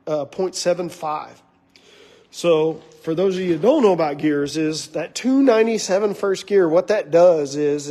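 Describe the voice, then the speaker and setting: A hoarse man running a car shop, talking casually and rambling with lots of fillers as he shows off parts of a car build in his workshop. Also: fairly high pitch, warm tone. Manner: rambling, filler-heavy, enthusiastic about parts. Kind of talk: casual shop walkaround talk.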